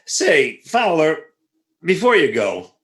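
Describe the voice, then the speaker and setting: Man playing a jealous, exasperated, animated husband. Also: on the high side, slow pace, exaggerated voice. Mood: boastful, then deflated. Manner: dry, exasperated. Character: George, jealous, exasperated husband, dry and boastful. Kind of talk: 1940s radio comedy acting. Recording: echoey room.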